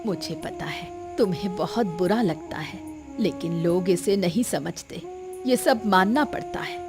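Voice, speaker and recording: A woman's voice: on the low side, lively intonation, unhurried, over music. A woman playing a patient village mother in a cartoon, consoling and coaxing her grown son with advice. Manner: gentle, coaxing, advising. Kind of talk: Hindi cartoon moral story acting.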